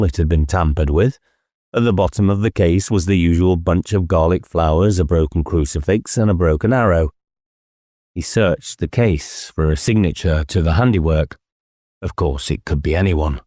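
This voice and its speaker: A man's voice: deep voice, moderate pace, mellow, no room sound. A man narrating a novel dramatically as an audiobook reader, close to the microphone.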